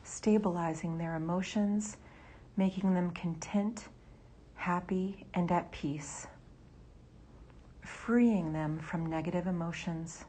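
A woman leading a guided meditation in a soft, breathy near-whisper. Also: lowish voice, fairly even intonation, takes it slowly, a little hiss or hum.

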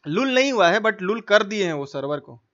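A young man with a high, bright and forceful voice talks to his livestream audience. He is chatty and informal, using slang and coaxing viewers along. The sound is slightly dull and lo-fi. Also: very animated, a little fast. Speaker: gaming livestreamer. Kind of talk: casual livestream chat with viewers.

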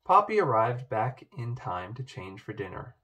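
A man reading a children's storybook aloud, with expressive narration. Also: mid-range voice, normal speed. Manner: expressive, animated. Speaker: storybook reader. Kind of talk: children's book read-aloud.

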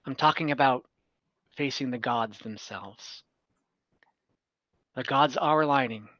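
A man playing a scheming adventurer who recruits warriors, speaking earnestly and deliberately in tabletop roleplay. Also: mid-range voice, fairly bright tone, no echo, natural voice. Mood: grave, urgent. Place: room at home, on a group video call. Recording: dull online-call audio.

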